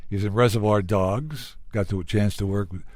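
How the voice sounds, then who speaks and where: A man's voice: lowish voice, fairly even intonation, a little fast, a little mellow, dry recording. An American man hosting a casual comedy interview, talkative and joking. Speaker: interviewer and host.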